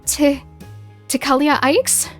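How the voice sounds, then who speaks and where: A woman's voice: high voice, slow pace, soft background music, pitched above the performer's own voice. A woman voicing a frightened, pleading young woman in a fantasy audio drama, stammering and begging.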